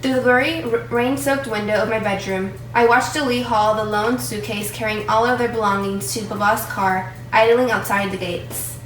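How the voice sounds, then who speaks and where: A woman's voice: on the high side, fairly even intonation, normal speed, hardly any pauses, fairly bright tone. A woman reading scripted dramatic narration for readers' theatre. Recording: distant, echoey, faintly noisy room.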